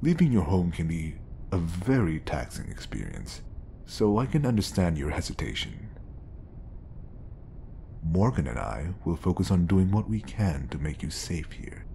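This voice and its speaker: Guy acting as a really deep obsessive bodyguard, super polite but scheming, voice swings around a lot, audio roleplay. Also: very animated, a little slow, gravelly, mellow, a little hiss or hum, full character voice. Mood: coaxing. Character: smooth, scheming obsessive male bodyguard feigning professionalism.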